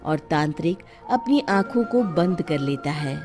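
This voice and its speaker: A woman's voice: sits low, animated, measured pace, music in the background. A woman reading a scripted horror story as the narrator.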